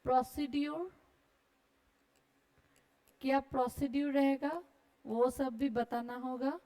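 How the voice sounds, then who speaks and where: A woman with an Indian accent and a high voice teaches a class. She dictates notes slowly, switching between Hindi and English. She keeps checking that her students are keeping up. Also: steady tone, dark tone. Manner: instructional, checking often that students follow.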